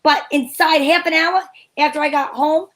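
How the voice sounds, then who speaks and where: A woman's voice: sits high, fairly even intonation, normal speed, fairly bright tone. A woman playing a feisty, wry heroine in an old-time radio show, with sassy, theatrical delivery. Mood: wry narration. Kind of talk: hobby old-time radio acting with first-person narration.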